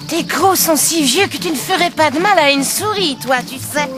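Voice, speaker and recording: A woman's voice: sits high, moderate pace, over music, lightly acted. A woman playing an old, world-weary farm cat in a cartoon dub, wry, hesitant and plaintive. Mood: teasing.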